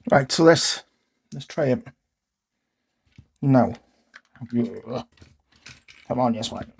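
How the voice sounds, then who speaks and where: A man's voice: medium pitch, expressive, extremely slow, vocal fry, husky. A man hosting a repair video, narrating casually in short muttered remarks to himself as he works with his hands.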